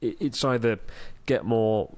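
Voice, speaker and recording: A man's voice: medium pitch, unhurried, a little mellow, no echo. A man, co-hosting a casual podcast in a rambling, sardonic way. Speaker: podcast co-host.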